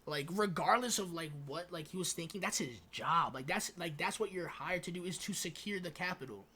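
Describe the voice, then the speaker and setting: A man's voice: sits high, fairly quick, pushed and bright, slightly rough. A young American man chatting casually as a podcast co-host, with slangy speech full of swearing. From United States. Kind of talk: casual chat.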